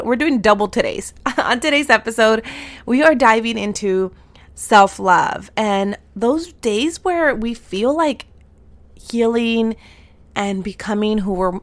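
A woman in her thirties hosting a solo podcast, bubbly and affirming, her voice slightly nasal and raspy today. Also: a little high. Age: 30s. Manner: bubbly, affirming, with slang and laughs. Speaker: podcast host and soul mentor. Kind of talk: upbeat solo podcast talk.

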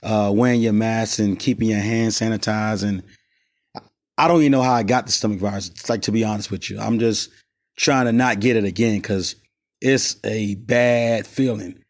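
A man hosting his own sports podcast in a loose, rambling way, voice raspy while he recovers from a stomach virus, recorded on a cell phone outside his studio. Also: on the low side, fairly even intonation, normal speed. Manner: loose, rambling, many fillers and restarts. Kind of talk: casual sports talk. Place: not in the studio.

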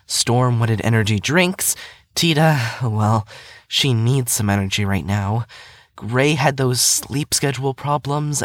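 Tired, practical young male patient for audio drama, played by a man: slow, measured, dry close sound. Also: mid-range voice, dry recording, lightly acted.

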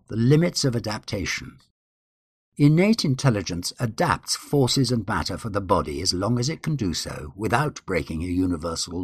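A man giving a formal scripted reading of numbered statements in a deliberate, declamatory way with measured phrasing. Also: on the low side, husky, dry recording, studio quality. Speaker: guest reader.

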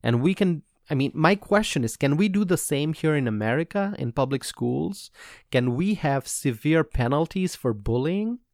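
A man from Bangladesh hosting a solo podcast, giving earnest, measured commentary on public policy and repeating his points for emphasis. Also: on the high side, animated, darkish tone, no room sound, clean studio sound. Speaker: podcast host.

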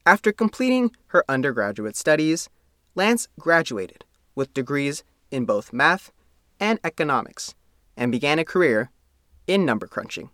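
Lively, higher-voiced man reading scripted narration. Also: moderate pace, no room sound, clean studio sound. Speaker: narrator, reporter reading own profile. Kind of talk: scripted narration of a profile story.

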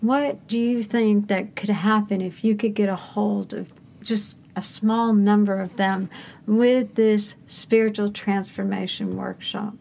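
A woman's voice: mid-range voice, fairly even intonation, unhurried, vocal fry, a little mellow. A woman hosting an interview, asking questions in an encouraging, conversational way. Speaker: host.

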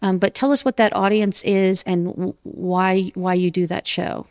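A woman's voice: mid-range voice, flat delivery, unhurried, a little mellow, no echo, clean studio sound. A woman from Miami hosting an interview podcast, encouraging and a little hesitant, with frequent fillers. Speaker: podcast host. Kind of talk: conversational interview.

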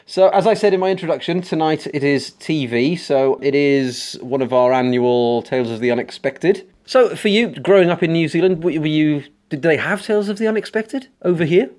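A man's voice: fairly high pitch, brisk, hardly any pauses, darkish tone. A man hosting a podcast, playful and teasing in casual chat. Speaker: podcast host.

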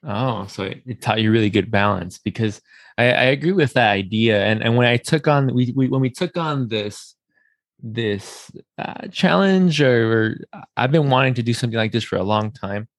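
A man's voice: mid-range voice, animated, normal speed, no echo. A man hosting a podcast interview in a casual, talkative and affirming way. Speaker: podcast host.

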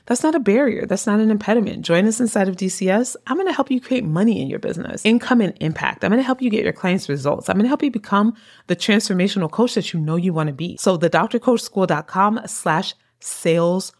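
A woman hosting her podcast, a coach making an energetic, persuasive pitch, her voice slightly hoarse and tagging lines with "right?". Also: quick pace. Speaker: podcast host and business coach.

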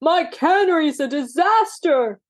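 A woman's voice: very high voice, lively intonation, unhurried, pitched above the performer's own voice. A woman voicing an angry middle-aged French cannery owner who hollers and scolds. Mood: furious.

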